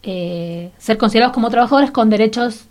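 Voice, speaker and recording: A woman's voice: fairly high pitch, a little fast, a little mellow. Rioplatense woman hosting a radio interview. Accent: Rioplatense Spanish.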